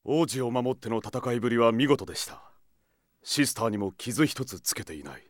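An actor playing a loyal, steadfast warrior, speaking earnestly, formally and with composure in an audio drama. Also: male voice, medium pitch, expressive, normal speed, no room sound, studio quality, close to an everyday voice. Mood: composed, earnest.

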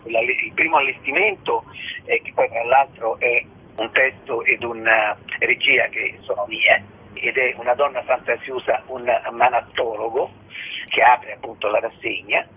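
Man, medium pitch, hesitant interview guest, searching for words, thin telephone sound. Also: fairly even intonation, moderate pace, breathy edge. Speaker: theatre festival artistic director, radio guest. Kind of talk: interview answers. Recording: narrow phone line with background noise.

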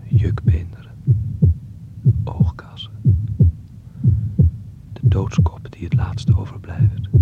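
A man's voice: sits low, phone-quality sound. An actor playing a bedridden fifteen-year-old boy in a Dutch radio drama, cheeky, dreamy and morbid, with a teasing, defiant manner. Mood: morbid whispering.

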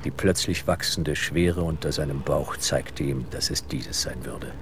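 A man narrating an audio drama in a measured, suspenseful, literary style. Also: very deep voice, music in the background.

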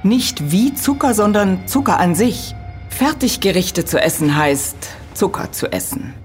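A woman's voice: medium pitch, sing-song, a little slow, soft background music. A woman playing a middle-aged professor in an audio drama, lecturing precisely and patiently correcting others with firm emphasis. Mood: instructive. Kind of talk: German audio drama dialogue.

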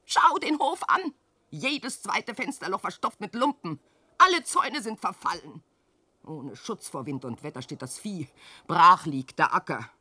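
An old widow mom scolding her son in an old radio play, slow lamenting delivery, lowish voice. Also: animated, slightly rough, no room sound, exaggerated voice. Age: older. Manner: scolding and lamenting, swings from shrill cries to hushed sighs. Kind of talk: classic radio play acting in verse.

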